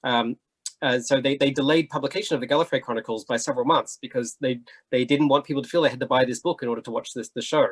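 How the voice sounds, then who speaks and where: A man speaking conversationally as a panelist in a casual discussion, animated and often checking in with the listener. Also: mid-range voice, quick pace, husky.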